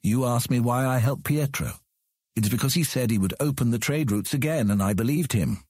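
A man playing a proud, measured ruler in a fantasy audio drama, speaking formally and with deliberate command. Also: mid-range voice, a little mellow, dry recording, studio quality, lightly acted. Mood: grave, probing. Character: proud, measured ruler of an outland city on his throne.

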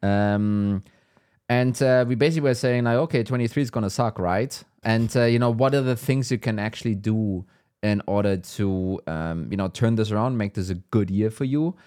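A man co-hosting a business podcast, chatting in a relaxed way with fillers and laughs. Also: fairly low pitch, normal speed. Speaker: podcast co-host. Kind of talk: casual business chat.

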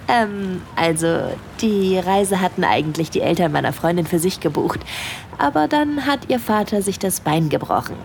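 A woman playing a shy yet bold young cruise guest in an intimate audio drama, speaking softly and hesitantly. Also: on the low side, expressive, measured pace, creaky, slight background noise. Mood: shy, amused.

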